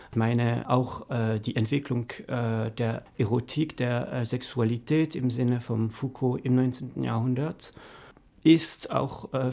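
Slow, halting man from France, dark gravelly voice. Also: medium pitch. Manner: halting, many fillers and restarts. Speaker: interview guest, doctoral researcher. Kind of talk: academic interview.

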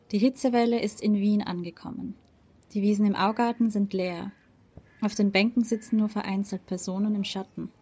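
A woman hosting a radio programme, reading a measured scripted introduction and interviewing a guest. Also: medium pitch, dark tone. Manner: clear, measured delivery.

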